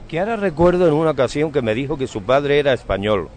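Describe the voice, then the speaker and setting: A man's voice: on the high side, animated, fast, a little mellow, slight background noise. Guy doing a chatty local radio interview, really courteous and flowery with his words. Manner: effusive, courteous, florid phrasing. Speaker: radio host interviewing on the street.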